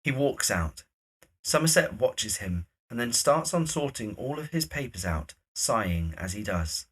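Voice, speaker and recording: Male narrator, slightly rough unclear tone, mid pitch, scripted present-tense scene narration. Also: big pitch swings, unhurried, rough recording. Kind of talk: scripted narration of stage directions.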